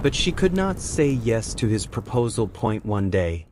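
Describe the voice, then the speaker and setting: A man tells a bedtime story at a very slow pace, with his pitch rising and falling expressively. His voice sits in a middle register, and steady background noise runs behind it.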